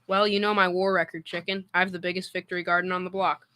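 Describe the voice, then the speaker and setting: A woman's voice: fairly low pitch, little pitch movement, fast, sharp tone, no echo. A female performer playing a cocky, boastful tough-guy boyfriend, slangy and swaggering, in old radio comedy style. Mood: breezy. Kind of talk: 1940s radio comedy acting.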